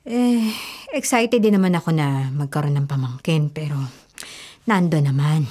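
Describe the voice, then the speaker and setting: An actress with a Filipino accent playing a concerned, admonishing older sister in a radio drama, speaking expressively. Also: female voice, low-pitched, measured pace, darkish tone. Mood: hesitant, concerned. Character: concerned, nagging older sister of a young man. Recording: faint music bed behind dialogue.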